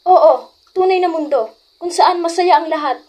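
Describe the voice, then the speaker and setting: A woman plays a bold teenage schoolgirl with a very high voice. She taunts and coaxes like the ringleader of a clique in an amateur radio drama. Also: moderate pace, darkish tone. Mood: coaxing. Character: bold, goading teenage schoolgirl, a bad influence.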